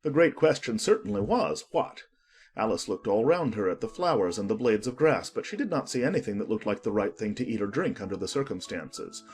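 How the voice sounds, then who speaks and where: A man narrating a children's audiobook in an expressive, scripted storytelling style. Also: lowish voice, a little fast.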